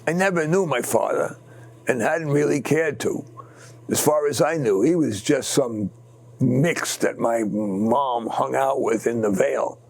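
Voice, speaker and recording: A man's voice: mid-range voice, measured pace, vocal fry. A man voicing a lonely, aging male dog who reflects plainly on his life. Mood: wistful, resolved.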